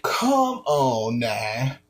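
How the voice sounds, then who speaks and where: A man's voice: medium pitch, wildly swooping pitch, drawn-out words. A man streaming, reacting to a video with slangy, incredulous casual commentary.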